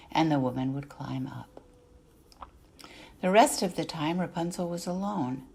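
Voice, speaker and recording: Female storybook narrator, lowish and creaky, deliberate dramatic phrasing, softly falling phrase ends. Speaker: narrator, artist reading excerpts. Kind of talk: scripted storybook narration for adults.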